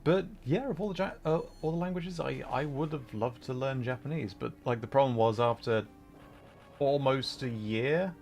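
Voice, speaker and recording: A man's voice: mid-range voice, normal speed, darkish tone, soft background music. A man hosting a livestream, chatting casually as he plays a game, with an apologetic, self-deprecating manner. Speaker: livestream host. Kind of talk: casual chat while streaming a game.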